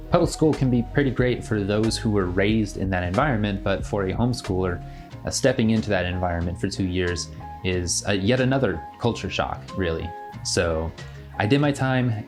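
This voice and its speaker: Low-voiced young American man over guitar music. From western United States (California and Oregon). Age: young adult. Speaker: YouTuber and author. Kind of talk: talking to camera, semi-scripted personal vlog.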